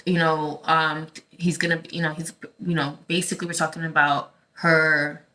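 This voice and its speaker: A woman from the Dominican Republic talking casually to her viewers as a tarot channel host, in a halting, rambling way as she thinks aloud. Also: deep voice, flat delivery, pushed and bright, poor audio. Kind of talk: casual vlog talk to viewers.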